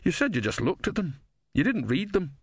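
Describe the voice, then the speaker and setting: A man doing a wry, high-pitched old philosopher. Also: sing-song, moderate pace, breathy edge, dry recording, clean studio sound, full character voice. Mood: brisk dismissal. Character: blithe, wry old male philosopher, teasing and dismissive.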